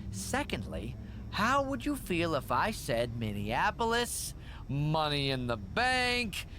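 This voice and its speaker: A man's voice: fairly high pitch, huge pitch swings, takes it slowly, noisy background, full character voice. An actor playing a nervous, fawning male wrestling manager who rambles anxiously in a video game cutscene. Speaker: wrestling show official.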